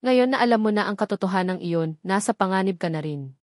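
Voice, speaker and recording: A woman's voice: mid-range voice, lively intonation, a little fast, a little mellow, no room sound, studio quality, close to an everyday voice. A woman with a Filipino accent voicing a serious, cautious male investigator in a storybook, firm and practical. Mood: grave warning. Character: serious, cautious man, a sharp-minded investigator. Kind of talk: dramatic audio storybook dialogue.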